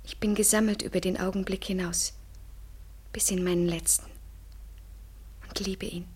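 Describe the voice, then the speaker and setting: An actress in a German radio play as a young woman consumed by desperate love, speaking slowly and intensely in a breathy near-whisper. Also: medium pitch, level tone, darkish tone, slight background noise, natural voice. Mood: feverish, pleading. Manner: slow, halting, intense, poetic delivery. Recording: 1970s radio drama studio recording.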